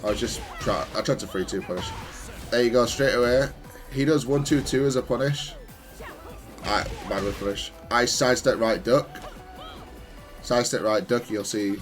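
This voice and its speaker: A man's voice: mid-range voice, moderate pace, long pauses, faint music behind. A man giving off-the-cuff commentary on his own fighting game replays, explaining things in a hesitant, stop-start way. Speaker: gamer analysing own matches.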